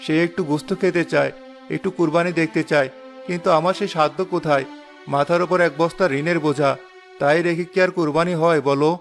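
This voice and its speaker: Man playing a careworn, higher-voiced village father. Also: fairly even intonation, a little fast, close to an everyday voice. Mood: downcast, weary. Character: poor, careworn village father, gentle and downcast. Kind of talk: cartoon dialogue dub. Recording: music under the voice.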